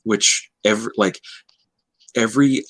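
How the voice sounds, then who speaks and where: A man talking casually in a book discussion, often halting and searching for the right words. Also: on the low side, fairly bright tone, dry recording.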